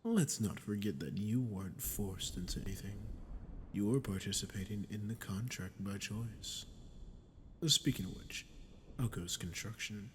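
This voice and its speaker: A man playing a sadistic, smug archdemon in an ASMR roleplay, talking down to the listener with slow, mocking condescension. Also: fairly low pitch, slight background noise, lightly acted. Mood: accusing. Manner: mocking, condescending, slow taunting delivery with breathy drops. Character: sadistic, smug male archdemon toying with a captive ruler. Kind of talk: ASMR audio roleplay, dramatic monologue to the listener.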